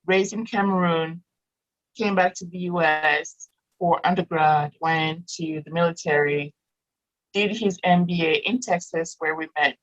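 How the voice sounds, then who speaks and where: A woman's voice: on the low side, measured pace, muffled recording. A woman in her thirties, raised in Morocco with French as her first language, chatting as a guest, laughing often and restarting sentences. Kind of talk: casual interview chat.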